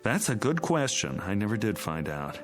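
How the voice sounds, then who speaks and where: A man's voice: lowish voice, big pitch swings, normal speed, over music. An actor playing a weary, fond but exasperated suburban father in a naturalistic radio drama style. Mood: musing.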